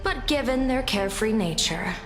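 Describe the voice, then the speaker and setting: A woman's voice: on the high side, lively intonation, moderate pace, natural voice. An actress playing an inquisitive, self-assured female seer in a video game, articulate, formal and probing. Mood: probing, assertive. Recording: echoey hall with music behind.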